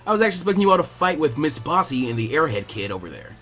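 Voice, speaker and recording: A man voicing a gruff, teasing adult ninja teacher with a laid-back, cocky, crude way of talking. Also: a little high, very animated, racing, fairly bright tone, faint music behind. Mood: amused.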